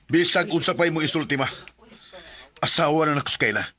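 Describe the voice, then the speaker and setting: A man's voice: on the high side, a little fast. A man voicing a devoted young man in a radio drama, earnest and firm with his family. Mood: defiant. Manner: earnest, soft-spoken in love scenes.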